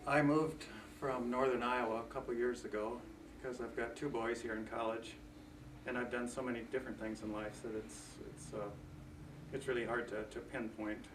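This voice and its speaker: A man's voice: mid-range voice, level tone, normal speed. Earnest man in a roomy hall. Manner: earnest, repeats words while searching. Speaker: senior center volunteer. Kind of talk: self-introduction. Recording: roomy, distant, noisy room.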